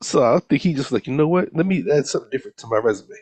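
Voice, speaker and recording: A man's voice: medium pitch, animated, rapid-fire, darkish tone, husky. Man chatting on a movie podcast. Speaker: podcast co-host. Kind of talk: casual film discussion with friends.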